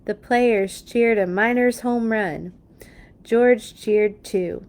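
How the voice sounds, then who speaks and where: Slow-paced woman reading a children's storybook. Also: fairly high pitch. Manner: expressive, playful asides to young listeners. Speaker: children's read-along storyteller. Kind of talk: storybook read-aloud with animated asides.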